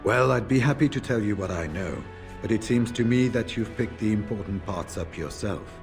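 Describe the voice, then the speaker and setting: A male actor voices a seasoned warden warrior in a fantasy role-playing game. His voice is deep and he speaks plainly, in a measured storytelling way. Soft music plays underneath. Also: natural voice. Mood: reflective. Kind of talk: fantasy RPG dialogue.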